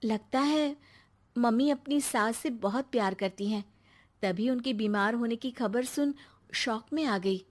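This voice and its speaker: A sweet young daughter-in-law voiced by a female narrator in a cartoon family tale. She is expressive and meek, with a high pitch. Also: unhurried. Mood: curious. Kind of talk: animated moral story voice acting.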